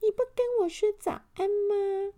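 A woman's voice: very high voice, little pitch movement, normal speed, warm tone, pitched above the performer's own voice. A woman voicing a tiny, playful, unflappable elf in a children's story, teasing and carefree. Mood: cheeky, playful.

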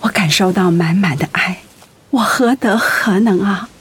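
An actress playing a devoted, anxious wife in a radio drama, speaking tenderly and earnestly with feeling. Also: female voice, mid-range voice, a little slow, husky. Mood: moved, grateful. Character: devoted, anxious wife caring for her sick husband.

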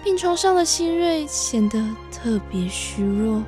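High-voiced woman narrating over music. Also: animated, measured pace. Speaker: story narrator. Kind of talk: scripted radio drama narration. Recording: prominent music behind the voice.

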